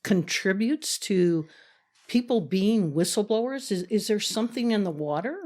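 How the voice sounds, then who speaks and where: A woman's voice: medium pitch, animated, moderate pace, darkish tone. Woman hosting a studio interview. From South Dakota. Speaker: podcast host.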